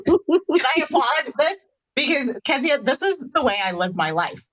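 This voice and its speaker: A woman's voice: high-pitched, sing-song, measured pace, low-quality recording. A woman co-hosting a podcast, talking casually in an emphatic, outspoken way. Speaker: podcast co-host. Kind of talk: casual, conversational interviewing.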